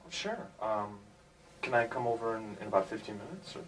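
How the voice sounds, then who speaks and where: An actor playing a shy, gentle man who speaks softly and hesitantly, full of pauses, recorded from a distance. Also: on the low side, animated, reverberant, noisy background, lightly acted. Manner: soft-spoken, hesitant, awkward. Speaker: neighbor invited to dinner. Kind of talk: film dialogue. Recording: distant mic.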